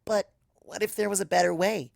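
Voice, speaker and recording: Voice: medium pitch, fairly quick, creaky, darkish tone, no echo, studio quality, pitched above the performer's own voice. A narrator voicing an anxious, earnest young female unicorn pony in a story, flustered and hesitant. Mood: uneasy, guilty.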